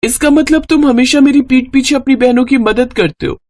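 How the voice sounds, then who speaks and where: A woman's voice: sits high, moderate pace, pitched above the performer's own voice, lightly acted. A woman voicing a haughty young wife who scolds and complains in a moral-story cartoon. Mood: suspicious, threatening. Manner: sharp, condescending, complaining.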